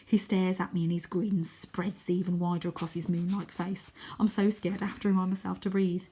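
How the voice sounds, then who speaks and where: A woman narrating a children's adventure story expressively, with a dramatic, sometimes hushed delivery. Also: lowish voice, flat delivery, a little fast, husky. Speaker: storybook reader.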